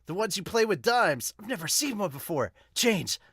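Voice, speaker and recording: A man voicing a brash, carefree teenage boy chef, blunt and excitable, in a manga dub. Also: high voice, normal speed, husky, dry recording, clean studio sound, pitched above the performer's own voice, exaggerated voice. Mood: excited.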